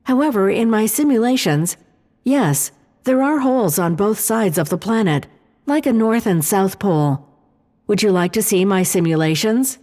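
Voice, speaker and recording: A mellow female artificial intelligence acted by a woman speaks inside a long, hall-like reverb. She is calm and precise, laying out analysis in measured, formal sentences, as in a sci-fi audio drama. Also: medium pitch, lightly acted. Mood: measured, analytical.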